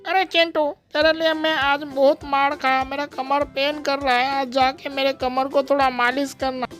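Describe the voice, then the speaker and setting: A woman voicing a loud, bossy village mother who scolds shrilly and rushes her words in a storybook tale. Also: high-pitched, little pitch movement, soft background music, pitched above the performer's own voice. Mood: complaining.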